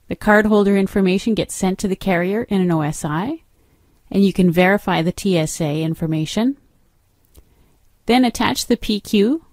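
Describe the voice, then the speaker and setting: A woman with a mid-pitched voice narrates a software training demo. She explains each step in an instructive manner. The sound is close and dry, with very little room on it. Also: no room sound.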